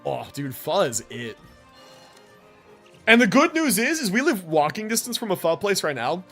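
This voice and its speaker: A man's voice: sits high, very animated, moderate pace, fairly bright tone, faint music behind. A young man streaming games, chatting casually with slangy, profane phrasing. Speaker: game streamer. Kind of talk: casual livestream chat.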